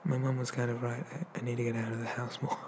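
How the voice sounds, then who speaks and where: A man voicing a shy, gentle young male demon in an ASMR roleplay, soft and hesitant, often slipping into whispers. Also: mid-range voice, level tone, fast talker, natural voice. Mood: nervous, tender. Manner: hesitant, stammering, bashful. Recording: noticeable background noise.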